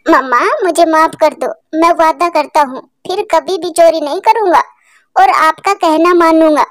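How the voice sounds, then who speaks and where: A woman's voice: extremely high pitch, fairly even intonation, normal speed, a bit bright, dry recording, studio quality. A woman voicing a small, earnest, tearful bird child who pleads, in a cartoon. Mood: pleading.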